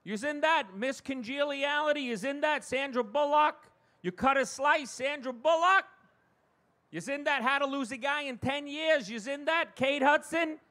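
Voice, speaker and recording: Man doing a pushy New York mother, high-pitched. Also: moderate pace, bright, forceful tone. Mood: star-struck, insistent. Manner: repetitive, insistent, ungrammatical gushing. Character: pushy older New York relative, mother, loudly star-struck. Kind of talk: stand-up comedy impression.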